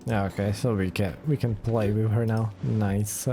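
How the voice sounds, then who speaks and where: A woman's voice: very deep voice, fairly even intonation, normal speed, music in the background. A woman giving casual, dry and blunt commentary as she plays a video game. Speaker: video game let's player.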